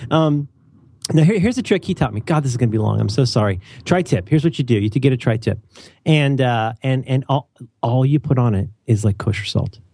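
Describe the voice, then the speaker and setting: A man hosting a casual chat, animated and opinionated, joking often and restarting his sentences. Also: medium pitch, brisk, gravelly, husky, dry recording, clean studio sound. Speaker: podcast host.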